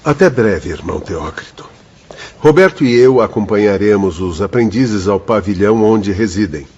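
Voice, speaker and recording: An actor playing a reassuring, formal doctor and guide in a radio drama, with a husky voice. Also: male voice, fairly low pitch, expressive, moderate pace, darkish tone. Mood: calm, reassuring. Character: reassuring, formal male doctor guiding spirit pupils. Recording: dull, slightly muffled radio drama.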